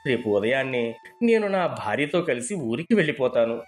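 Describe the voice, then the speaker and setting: A man's voice: medium pitch, very animated, normal speed, soft background music, lightly acted. A man playing a humble, loyal poor garden worker in a moral story, speaking plainly and with deference. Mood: humble, pleading. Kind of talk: dramatised moral story dialogue.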